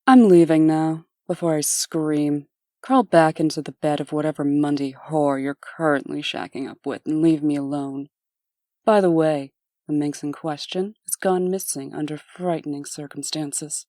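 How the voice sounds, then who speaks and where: An actress playing a cold, no-nonsense administrator and bitter ex-wife in a comic dub, cutting and sardonic. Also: female voice, low voice, steady tone, moderate pace, dark tone, dry recording, clean studio sound, close to an everyday voice. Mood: scornful.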